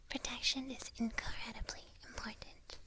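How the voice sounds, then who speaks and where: A woman playing a sly witch who tutors an apprentice in a whispered ASMR roleplay, speaking slowly with soft, deliberate teasing. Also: fairly high pitch, little pitch movement, close to an everyday voice. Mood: teasing, faintly menacing. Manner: slow, softly teasing, deliberate.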